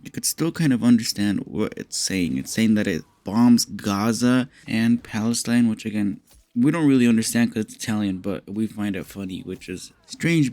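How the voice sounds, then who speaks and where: A young man talks casually into a close, dry microphone, his voice slightly raspy and sitting a bit low. He rambles along, swearing freely with an amused edge, and his tone stays mellow rather than bright. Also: no room sound. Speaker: commentary YouTuber. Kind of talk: casual reaction commentary.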